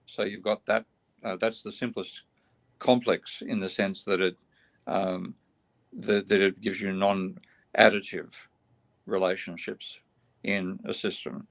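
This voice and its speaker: A weary, gravelly man with a low-set voice talks through ideas on a video call, stopping and restarting as he thinks aloud. The call audio is dull and lo-fi. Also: level tone, slow, long pauses, a bit bright. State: tired, voice getting croaky. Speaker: guest economist. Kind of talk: explaining ideas in a live online discussion.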